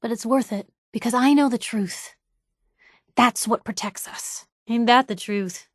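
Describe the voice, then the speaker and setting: An actress playing a feisty, wry young woman reporter in video game companion dialogue, conversational, slangy and expressive. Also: fairly high pitch, unhurried, no echo, clean studio sound. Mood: wry, easygoing. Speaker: voice actor for a game companion character.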